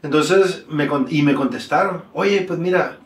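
Mexican man, thirties, slangy storyteller, slightly high voice, roomy echo. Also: measured pace, distant mic. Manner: relaxed slangy storytelling, animated when telling stories. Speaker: guest. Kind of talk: casual storytelling interview. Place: small indoor room. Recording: echoey room.

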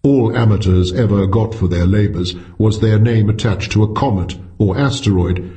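A man's voice: lowish voice, normal speed, warm tone. A man reading a scripted short story as an audiobook narrator.

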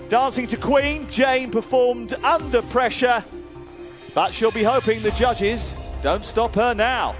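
A man giving scripted live skating commentary on television. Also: extremely high pitch. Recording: loud music and crowd behind. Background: loud music, cheering crowd.

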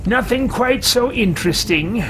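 A man's voice: very high-pitched, expressive, a little slow, heavy background noise, voice pushed up. A man in his thirties voicing a haughty, greedy male mage adventurer with pompous, theatrical disdain in a video game roleplay. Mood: bored, imperious. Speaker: let's-play host roleplaying a game character. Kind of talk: theatrical in-character game commentary.

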